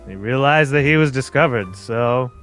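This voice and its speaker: A man playing a pompous, excitable gentleman sidekick in an old-time radio detective play, with theatrical delivery. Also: mid-range voice, normal speed, faint music behind, lightly acted. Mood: musing.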